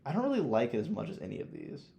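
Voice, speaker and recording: A man's voice: mid-range voice, wildly swooping pitch, rapid-fire, vocal fry, dark tone. A young man co-hosting a podcast, chatting casually and giving opinions in emphatic, profane slang. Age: young adult. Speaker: podcast co-host. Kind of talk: casual chat, opinionated film ranking.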